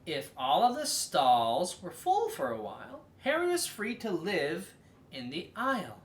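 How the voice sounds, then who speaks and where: A person reading a children's story aloud as host, in a theatrical, drawn-out way with emphatic stresses. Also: medium pitch, huge pitch swings, echoey room.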